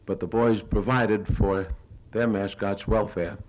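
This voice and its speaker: Low-voiced older American man narrating film. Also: takes it slowly, rough recording. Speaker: newsreel reporter giving a lecture. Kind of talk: live lecture narrating his own war film. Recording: 1970s archival lecture audio recording.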